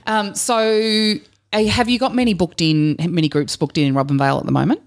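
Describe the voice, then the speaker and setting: A woman's voice: on the low side, fairly even intonation, brisk. A woman with an Australian accent hosting a casual podcast interview, chatting in a jokey, teasing manner. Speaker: podcast host.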